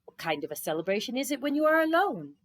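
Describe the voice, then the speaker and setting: A woman voicing a wise, calm old man, a mysterious traveler in a storybook. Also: quick pace. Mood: gently admonishing. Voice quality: deep, strong voice as narrated.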